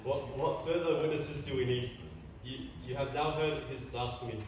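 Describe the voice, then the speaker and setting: A man reading a scripture part aloud as an amateur, haltingly and repeating words. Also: mid-range voice, steady tone, darkish tone. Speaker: youth group participant reading a part. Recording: echoey hall from far off, noisy.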